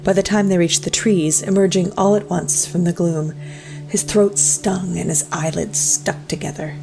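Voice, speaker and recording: Low, gravelly woman storyteller. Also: low-quality recording. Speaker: story narrator. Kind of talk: scripted dramatic story narration. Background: music.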